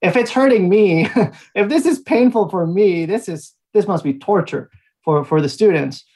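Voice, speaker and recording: A man's voice: sits high, moderate pace, husky. Easygoing man from Mexico telling stories. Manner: relaxed storytelling with frequent fillers and light laughs. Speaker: guest, language institute founder. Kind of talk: conversational interview answers.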